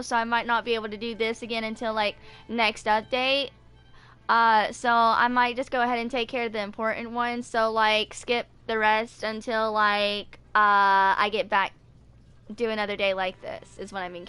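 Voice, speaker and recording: A woman's voice: on the high side, level tone, moderate pace, pushed and bright, smooth, soft background music. A woman in her twenties reading and chatting casually as a video creator in a home recording. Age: 20s. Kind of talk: casual reading aloud and chat for a fan video. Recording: home recording with slight background noise.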